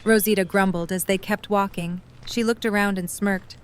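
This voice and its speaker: A woman's voice: medium pitch, fairly even intonation, moderate pace, clear, resonant tone. A woman reading scripted third-person story narration for an audio drama.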